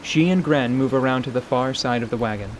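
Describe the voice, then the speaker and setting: A man's voice: medium pitch, a little fast, a little hiss or hum. A man reading scripted narration for a fantasy audio drama as its narrator. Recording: steady rain and stream ambience behind.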